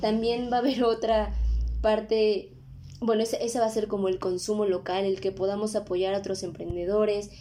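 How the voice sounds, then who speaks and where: A woman's voice: medium pitch, level tone, normal speed, warm tone, a little hiss or hum. A woman in her twenties with a Mexican accent, hosting a podcast in a casual, conversational monologue with frequent tag questions. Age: 20s. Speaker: podcast host.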